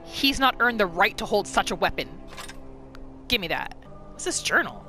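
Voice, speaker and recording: Female gamer, casual commentary, animated pitch swings, bright tone, expressive, soft music behind. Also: normal speed. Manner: expressive, exclamatory. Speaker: gamer, roleplay host.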